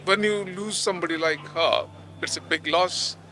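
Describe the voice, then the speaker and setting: A man in a street interview, speaking haltingly with many fillers. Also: sits high, steady tone, sharp tone, a little hiss or hum. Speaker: member of the public.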